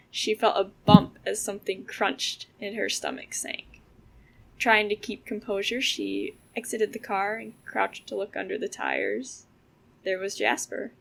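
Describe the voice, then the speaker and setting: A young woman acting as a storyteller in an audio drama, with a creaky voice. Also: medium pitch, fairly bright tone, exaggerated voice, poor audio. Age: young adult. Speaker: student audio drama actor.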